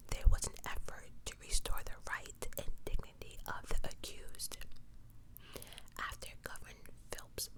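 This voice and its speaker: A woman whispering true crime stories for ASMR, with casual, rambling asides in a breathy, unvoiced voice. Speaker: ASMR host.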